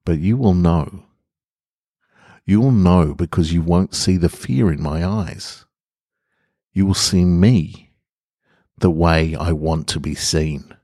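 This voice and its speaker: A man hosting a podcast, speaking in a measured, deliberate way with pauses between phrases. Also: deep voice, dark tone, no echo, clean studio sound. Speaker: podcast host and reader. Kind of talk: scripted literary monologue narration.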